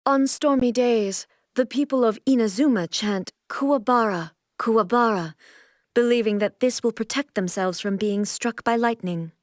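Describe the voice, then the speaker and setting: A woman's voice: on the high side, no room sound, studio quality, natural voice. A woman playing a stern, regal deity ruler in a video game, speaking in a formal, composed, stately way. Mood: solemn, commanding. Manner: formal, stately, measured delivery. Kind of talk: scripted video game character voice lines.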